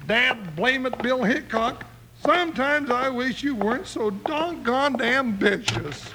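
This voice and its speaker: An actor playing a grumbling, excitable cowboy sidekick with an American Western accent and folksy, blustering delivery in a 1950s radio western. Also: male voice, extremely high pitch, full character voice. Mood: reluctant, grumbling. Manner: folksy, blustering, drawn-out exclamations. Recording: noisy old-time radio recording.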